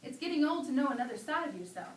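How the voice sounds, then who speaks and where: A woman's voice: sits high, sing-song, very fast. A woman giving an earnest dramatic staged reading of a sermon, drawing out key phrases for emphasis. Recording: echoey distant hall, faint background noise.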